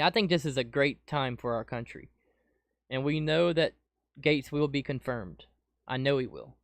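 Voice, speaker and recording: Excitable man, dry close mic. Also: a little high, no room sound. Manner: excitable, says "dude" often. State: losing his voice, getting sick. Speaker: podcast host reacting to news. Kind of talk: casual commentary over clips. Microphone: close desk mic.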